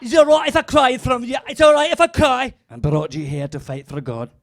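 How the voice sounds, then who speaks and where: A man performing rowdy medieval-themed stand-up in a put-on Scottish accent, shouting a rally speech at a crowd. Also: extremely high pitch, expressive, fast. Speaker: comedy performer.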